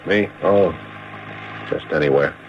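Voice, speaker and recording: A man's voice: sits low, unhurried. An actor in a radio drama playing a confused, anxious middle-aged man who has lost his memory, speaking hesitantly and repeating himself. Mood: weary, vague. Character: confused, anxious amnesiac businessman, stammering and lost. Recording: dull, noisy old radio broadcast.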